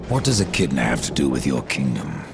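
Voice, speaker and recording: A grim horseman of death in a fantasy game. The voice is extremely low and full of gravel, terse and threatening. Its dry sarcasm comes through in lively turns of pitch. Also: brisk, noisy background, heavily acted. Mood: stern, curt. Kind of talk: scripted fantasy game acting.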